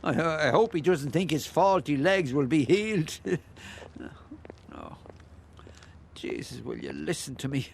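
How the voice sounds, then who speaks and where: An actor playing a chatty, nosy older Irish ex-bricklayer who rambles and muses in a radio play. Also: male voice, fairly high pitch, lively intonation, unhurried, creaky, long pauses, a little hiss or hum. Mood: wistful, amazed. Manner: garrulous, rambling, musing, with hesitations.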